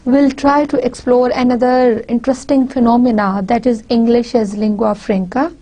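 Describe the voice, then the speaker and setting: A woman from Pakistan with a Pakistani accent, a native Punjabi speaker, giving a university lecture deliberately, often repeating and restarting phrases. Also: fairly high pitch, fairly even intonation, mellow, rough recording. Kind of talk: recorded lecture.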